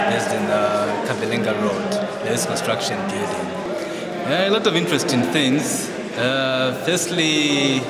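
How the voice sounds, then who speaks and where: A man explains his views in a measured way, stopping often on "uh" before moving on. He is recorded in a large hall with strong echo and a long reverb. A loud crowd chatters all around him. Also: mid-range voice. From Zambia. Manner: measured, explanatory, frequent filler pauses. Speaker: network secretariat head. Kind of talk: unscripted interview answer. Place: conference venue hall.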